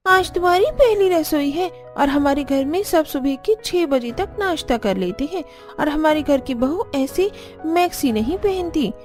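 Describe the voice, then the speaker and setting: A woman's voice: sits high, darkish tone. A woman voicing a bossy but resigned elder sister-in-law in a cartoon family story, with music underneath.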